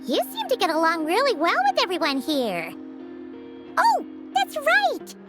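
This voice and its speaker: An actress voicing a tiny, excitable fairy companion who chirps and talks about herself by name. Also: female voice, very high voice, unhurried, exaggerated voice. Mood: curious. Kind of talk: video game voice acting. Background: game music.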